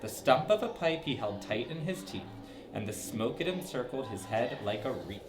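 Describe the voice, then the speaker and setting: Lively man reading a story to kids. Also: mid-range voice, moderate pace, over music. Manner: lively, sing-song, with dramatic swoops. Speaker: storybook reader for children. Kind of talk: reading a rhyming story aloud.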